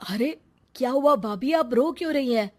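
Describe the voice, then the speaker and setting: Woman playing an earnest, high-voiced young man. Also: moderate pace, darkish tone. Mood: concerned. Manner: earnest, pleading, righteous. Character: earnest young college man, protective of his widowed sister-in-law. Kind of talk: dramatized Hindi moral story cartoon.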